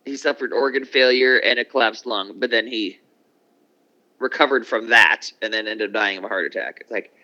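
A man's voice: fairly low pitch, moderate pace, pushed and bright. A man in his forties co-hosting a casual chat over a video call, telling stories loosely and swearing freely. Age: 40s. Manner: loose, profane storytelling. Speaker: podcast co-host. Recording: dull lo-fi call audio.

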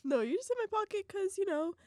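A woman's voice: very high-pitched, very animated, fast talker, dark tone. A young woman with a slightly stuffy, sick voice, co-hosting a casual podcast chat, chatty and giggly with frequent restarts. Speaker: podcast co-host.

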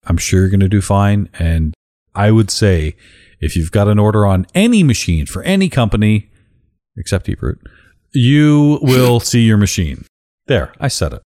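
A man hosting a casual podcast chat, with a deep voice and a joking, blunt way of talking that drops casual swearing. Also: very animated, normal speed, dark tone. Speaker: podcast host.

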